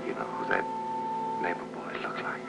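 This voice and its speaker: An actor playing a bedridden, menacingly possessive husband in a 1950s film melodrama, speaking slowly and haltingly in a hush. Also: male voice, lightly acted, rough recording. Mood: brooding, menacing. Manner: slow, halting, hushed, often near a whisper. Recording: old film soundtrack, music behind.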